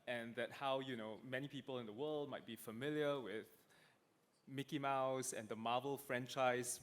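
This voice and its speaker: A man from Singapore who speaks on a conference panel at a mid-range pitch. He answers in a thoughtful way and often pauses on "um". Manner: thoughtful, frequent "um" fillers. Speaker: panelist, internet platform representative. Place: conference stage.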